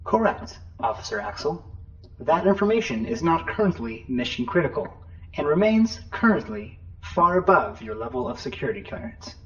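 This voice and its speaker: A man's voice: a little high, big pitch swings, moderate pace, fairly bright tone, lo-fi sound. A man voicing an unhelpful, officious spaceship computer in an audio drama, speaking formally. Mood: officious, detached. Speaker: voice actor in a podcast audio drama.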